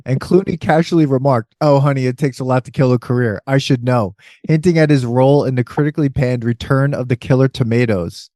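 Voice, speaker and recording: A man's voice: medium pitch, fairly quick, no room sound. Dry-sounding man rambling about old movies. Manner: excited, rambling, trails off and restarts. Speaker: podcast co-host. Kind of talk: casual comedic movie chat.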